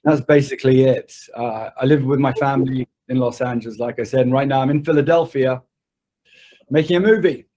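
A man in his sixties with a British accent talks as a guest in an online interview. His voice sits at a middle pitch, and he tells his story conversationally from a desk in an office. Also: a little fast, mellow. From born in England, grew up in Zimbabwe. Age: 60s. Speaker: interview guest, production designer. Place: indoor studio office on a video call. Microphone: computer mic at desk distance on a video call.

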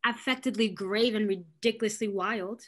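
A young woman playing an arch, quick-witted young lady of a period stage comedy, defiant about marriage. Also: medium pitch, steady tone, a bit bright, lightly acted. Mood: arch, defensive. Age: young adult. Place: indoors, on a video call.

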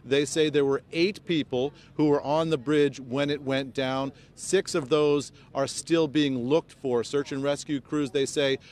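A man's voice: steady tone, unhurried, hardly any pauses, darkish tone. A man in his fifties with an American accent reporting live as a news correspondent into a handheld microphone outdoors. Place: outdoors by a highway among press crews. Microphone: handheld microphone held close. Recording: outdoor live report, rumbling background noise. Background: low rumbling outdoor noise.

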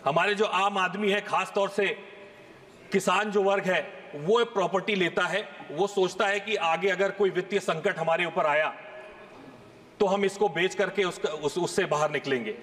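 A man's voice: high-pitched, normal speed, fairly bright tone. A man in his thirties giving an emphatic parliamentary speech full of rhetorical questions, speaking into a desk microphone in a large chamber. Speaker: member of parliament. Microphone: fixed desk microphone. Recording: long hall reverb from chamber.

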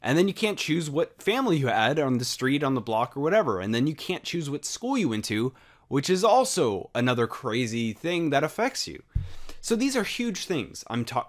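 A young American man talking alone in a casual, rambling way, full of fillers, rhetorical questions and casual swearing. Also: fairly high pitch. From United States. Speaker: podcast host. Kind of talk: unscripted solo monologue.

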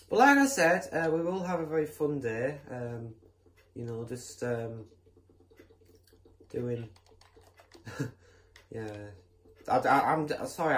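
Guy rambling over his game build, lots of ums and restarts, voice bounces all over. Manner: rambling, chatty, many fillers and restarts; bursts into excited high exclamations. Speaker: gameplay channel host. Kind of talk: casual game commentary while building.

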